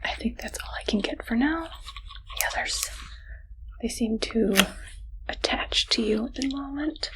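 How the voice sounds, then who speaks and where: A woman acts out a female mad scientist who is sweetly sinister and fond of her captive. Her voice is a soft, fairly high whisper. She speaks gently and affectionately, in the intimate style of an ASMR roleplay. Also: a little slow, long pauses, close to an everyday voice, poor audio. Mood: doting, softly sinister.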